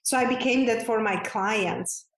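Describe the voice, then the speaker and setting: A woman's voice: fairly high pitch, measured pace. A woman from the Czech Republic with a Czech accent, a podcast guest who talks in a gushing way with many "like" fillers. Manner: effusive, gushing, frequent "like" fillers. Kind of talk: conversational interview. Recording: echoey room.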